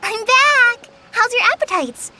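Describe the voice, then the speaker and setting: Friendly anime game youngster, very high bright voice, chirpy, faint music behind. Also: female voice, animated, measured pace, full character voice. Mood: cheerful. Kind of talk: anime-style video game voice acting.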